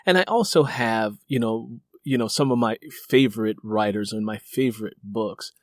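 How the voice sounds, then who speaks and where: A man's voice: lowish voice, moderate pace, dry recording, studio quality. A man interviewing as host and fellow writer, conversational and effusive, repeating words for emphasis.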